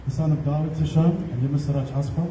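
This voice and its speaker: A young man from Australia, reading a solemn, measured prepared speech at an outdoor rally. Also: a little high, fairly even intonation, very noisy. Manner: solemn, measured, read from notes. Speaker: rally speaker. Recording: distant, echoey, dull outdoor recording.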